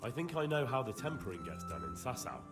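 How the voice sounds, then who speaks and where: A man's voice: mid-range voice, very animated, fast talker, over loud music, lightly acted. A young man acting a polite, inquisitive blacksmith's son in a medieval video game, earnest and plain-spoken. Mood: matter-of-fact. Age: young adult.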